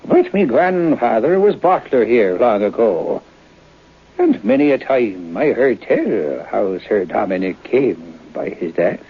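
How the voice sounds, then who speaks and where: A man's voice: on the low side, sing-song, takes it slowly, husky, exaggerated voice. An actor playing a morbid, garrulous old Irish local man of seventy-nine in an old radio drama, cackling, folksy and deferential. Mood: reminiscing. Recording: dull lo-fi old radio recording.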